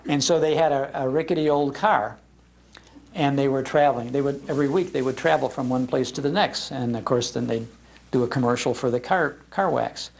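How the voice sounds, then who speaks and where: An older American man giving an informal, anecdotal public talk in an animated, conversational manner. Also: mid-range voice, a little fast, vocal fry. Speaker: speaker on old-time radio history, broadcaster. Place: public library talk before an audience.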